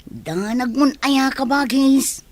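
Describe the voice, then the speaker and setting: Casting: actress as keen young villager, very high voice, Ilocano accent, reassuring, radio drama. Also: female voice, animated, slow pace, darkish tone, dry recording. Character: keen, reassuring young woman bound for work abroad.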